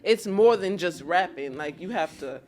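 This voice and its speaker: A woman's voice: lowish voice, expressive, moderate pace, darkish tone. A young woman, a guest battle rapper talking casually and slangily about her start. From New York. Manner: laid-back, slangy, keeps asking "you feel what I'm saying". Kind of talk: casual interview chat.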